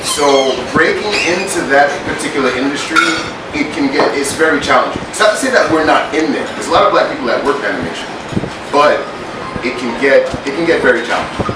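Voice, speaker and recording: A man with a deep voice, a guest artist speaking earnestly at a screening Q&A. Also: expressive, quick pace. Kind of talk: casual Q&A talk. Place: screening room. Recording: echoey hall from far off with loud background noise.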